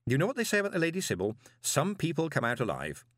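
Confident, put-upon postmaster for a storybook male reader, glib and earnest, very animated pitch, dry close sound. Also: fast talker, no room sound, full character voice. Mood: soothing, firm.

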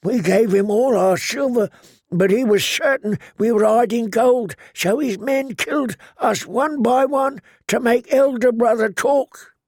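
An elderly monk, speaks in a high, plaintive voice pitched well up. He is weary and ashamed, halting as he goes, a sorrowful storybook survivor. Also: no room sound, clean studio sound. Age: older.

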